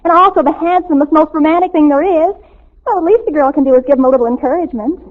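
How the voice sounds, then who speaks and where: A woman's voice: high voice, fast talker, lightly acted. A woman playing a dramatic, starstruck, self-important American teenage girl in a 1940s radio sitcom, gushing and theatrical. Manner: gushing, theatrical, grandly affronted. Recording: tinny old radio recording.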